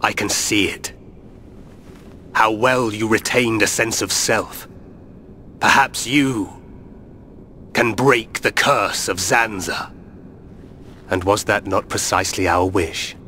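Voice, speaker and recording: A man acting a solemn, bitter leader of a machine people in a video game, speaking in a formal, measured, grave manner. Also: fairly low pitch, pushed and bright, a little hiss or hum.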